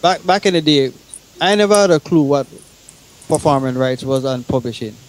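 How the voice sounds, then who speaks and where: A middle-aged man, a singer talking casually as a guest in a halting, questioning way. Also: fairly high pitch, lively intonation, poor audio. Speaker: veteran singer, guest on talk show. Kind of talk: casual discussion.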